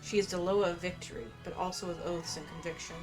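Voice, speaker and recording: A woman's voice: lowish voice, steady tone, quick pace, music in the background, rough recording. A woman playing through a game story, commenting casually with offhand asides and reading quest text aloud.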